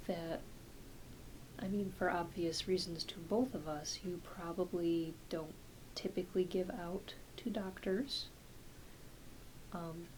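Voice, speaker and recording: A woman playing a kind, reassuring doctor in a soft-spoken ASMR roleplay, talking in a gentle, hesitant, conversational way. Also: medium pitch, a little hiss or hum, lightly acted, low-quality recording. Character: kind, reassuring doctor who treats werewolves.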